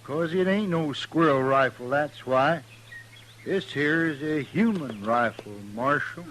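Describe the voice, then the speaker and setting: A stubborn mountain man, very slow and dry, with a backwoods Ozark drawl. He sounds unbothered and set on his feud, heard on a noisy old radio western recording. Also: fairly high pitch, lively intonation, fairly bright tone. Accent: American hillbilly, Ozark. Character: stubborn, unhurried mountain man bent on feuding.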